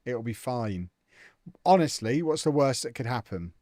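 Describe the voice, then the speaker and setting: A man's voice: medium pitch, lively intonation, moderate pace, mellow, dry recording. Young man, casual live-stream chatter, jokey, dry close sound. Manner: chatty, jokey, answers viewers' comments. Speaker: live streamer playing a football management game.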